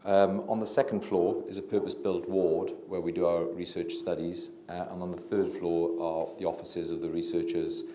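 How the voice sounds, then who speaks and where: A middle-aged man with a Welsh accent and a very deep, mellow voice lectures to an audience through a handheld microphone. He speaks quietly and hesitantly, with frequent fillers and restarts. The sound is roomy, with a faint hum of ambience behind him. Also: little pitch movement, moderate pace, hardly any pauses. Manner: low-talking. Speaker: physician and researcher giving a public talk. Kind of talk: public lecture. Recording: echoey lecture room.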